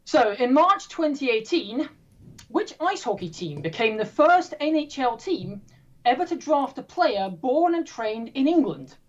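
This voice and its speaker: A woman hosting a trivia quiz, reading questions in a brisk, playful, self-mocking way. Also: sits high, big pitch swings, dull audio. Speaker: quiz host.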